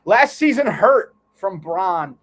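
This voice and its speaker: Guy doing live play by play n joking around with his cohost, voice a bit high, some noise behind him. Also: big pitch swings, takes it slowly. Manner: banters and teases his co-host. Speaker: host of a sports livestream. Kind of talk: live play-by-play and casual sports chat.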